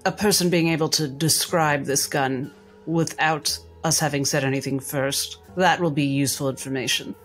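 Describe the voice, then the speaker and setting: A woman voicing a shrewd, imperious lady doctor with a genteel, measured way of talking in a tabletop game. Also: low-pitched, steady tone, soft background music, lightly acted. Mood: shrewd, composed.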